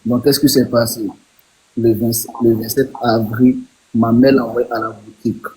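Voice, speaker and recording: A man on a live stream, telling how his younger sister went missing, speaking haltingly and repeating words. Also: medium pitch, level tone, warm tone, breathy edge, low-quality recording.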